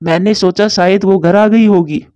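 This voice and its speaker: A woman voices a devoted father pigeon in a children's cartoon. The tone is mellow and dark, tender yet fretful. The recording is dry and somewhat muffled. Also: mid-range voice, fairly even intonation, normal speed, no room sound, close to an everyday voice. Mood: worried. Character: doting, anxious father pigeon.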